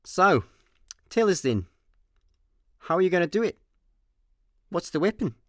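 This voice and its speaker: A man's voice: high-pitched, very animated, measured pace, dry recording, clean studio sound. A man with a Scottish accent playing a cocky, flippant space layabout in a comic sci-fi radio play. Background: music.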